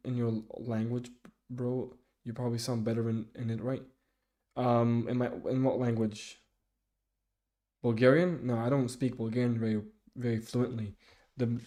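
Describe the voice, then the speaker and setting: A young man talks with his live viewers, picking up their comments one by one. His voice sits at an ordinary pitch but sounds mellow and dark. He stops and restarts a lot, filling the gaps with "um" and "like.". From Sweden. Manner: halting, many restarts and fillers. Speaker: streamer. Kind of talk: casual live chat reading viewer comments.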